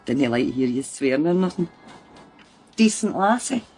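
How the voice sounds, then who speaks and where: This is a harassed working-class Scottish mother in a radio play. She is blunt and emphatic, in a lowish voice with very lively intonation. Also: normal speed, soft background music. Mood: earnest, upset. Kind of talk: radio crime drama acting.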